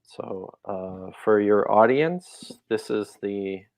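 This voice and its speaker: A man's voice: lowish voice, slow, a little mellow. A man talking as a guest scholar in a casual interview, thoughtful and hesitant, with many fillers and restarts.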